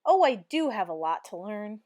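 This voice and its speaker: Woman playing a lively, cheerful, naive housemaid. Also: medium pitch, moderate pace, lightly acted. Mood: musing, puzzled. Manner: exclaiming, folksy.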